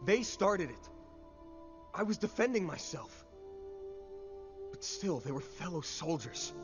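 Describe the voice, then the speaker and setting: An actor playing an earnest, troubled young male soldier in an animated sci-fi drama, halting and deferential in the way he talks. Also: a little high, music in the background. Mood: troubled, protesting.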